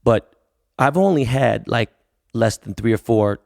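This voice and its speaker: A man who grew up speaking Korean, hosting a podcast in an excitable, slangy way. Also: medium pitch, gravelly, no room sound, studio quality. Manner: excitable, slangy and quick to react. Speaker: podcast host. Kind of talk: casual podcast conversation.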